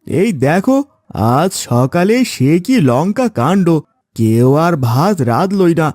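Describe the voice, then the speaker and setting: A man's voice: fairly high pitch, big pitch swings, takes it slowly, a little mellow, dry recording, studio quality. A man voicing an exasperated village farmer husband in a cartoon fairy tale, with a theatrical, complaining delivery. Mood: weary, downcast.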